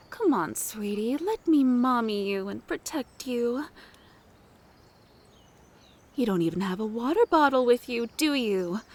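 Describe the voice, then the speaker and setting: Woman acting as a mature motherly seductress, ASMR roleplay, higher-pitched voice, unhurried delivery, doting and coaxing. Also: darkish tone. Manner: coaxing, doting, flirtatious, with sighs and pet names. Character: flirtatious mature motherly woman at a forest cabin.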